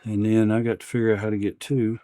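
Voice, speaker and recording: A man's voice: fairly low pitch, level tone, fast, dark tone. A man hosting a repair demonstration, talking casually and unscripted, partly to himself as he works at a bench. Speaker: repair channel host. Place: indoors at an electronics workbench.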